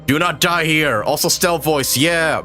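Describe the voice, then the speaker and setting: A man's voice: fairly high pitch, unhurried, bright, forceful tone. A man streaming a casual live reaction to a game, emotionally invested and swearing, sometimes reading lines aloud. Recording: clear game music behind voice.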